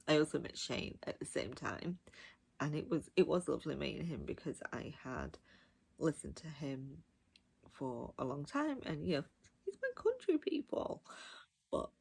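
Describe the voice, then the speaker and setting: Husky, low-voiced woman chatting enthusiastically. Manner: gushing, emphatic enthusiasm. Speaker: audiobook reviewer. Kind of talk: casual, chatty book review.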